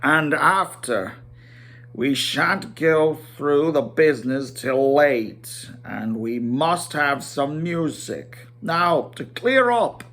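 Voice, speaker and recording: A man voicing an important, bossy dwarf leader with a commanding, deliberate delivery in a storybook reading. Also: a little high, fairly bright tone, exaggerated voice.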